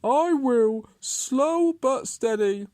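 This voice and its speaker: A patient, stubborn tortoise from a children's tale, voiced by a woman. The delivery is slow and deliberate, lifted above an ordinary talking pitch. Each phrase lands firmly and is drawn out with determination. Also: expressive, warm tone, no room sound, clean studio sound, exaggerated voice. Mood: calm, firm. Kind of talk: children's storybook character voice.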